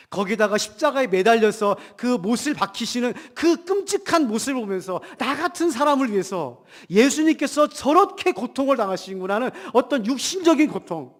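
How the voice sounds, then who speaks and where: A male pastor preaches in a big reverberant church. His voice sits high for a man and swings widely from emphatic declarations down to hushed asides. He has a cold and speaks in rhetorical questions to his congregation. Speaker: pastor preaching a Sunday sermon. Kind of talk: Protestant church sermon. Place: church hall during a service. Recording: long hall reverb in church.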